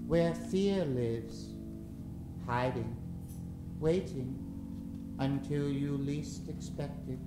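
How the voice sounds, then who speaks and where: A man hosting an old-time radio horror show, narrating in a melodramatic, ominous way with drawn-out dramatic emphasis. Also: mid-range voice. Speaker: host and announcer of a live radio drama show. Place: live stage show before an audience. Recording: roomy live stage recording with music. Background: music.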